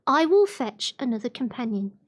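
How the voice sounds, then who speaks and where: A curt, commanding wandering fiddler from a storybook, voiced by a woman. He is a schemer, and his voice is pitched high. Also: sing-song, normal speed. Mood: scheming.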